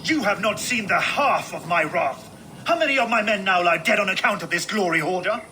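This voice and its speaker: An actor playing a hot-tempered seasoned Viking warlord in a video game, commanding and emphatic. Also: male voice, high voice, animated, brisk, pushed and bright. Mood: furious. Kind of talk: dramatic video game acting. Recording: noisy game ambience behind.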